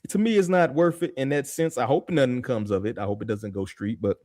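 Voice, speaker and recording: A man's voice: medium pitch, brisk, mellow, no room sound, studio quality. A man, hosting a podcast in casual street slang, often checking in with "you feel me.". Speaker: podcast host. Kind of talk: casual chat.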